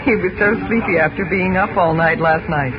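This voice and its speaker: An actress playing a gentle, anxious middle-aged mother in a 1940s radio drama. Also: on the low side, moderate pace, close to an everyday voice. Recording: old muffled radio recording, music behind.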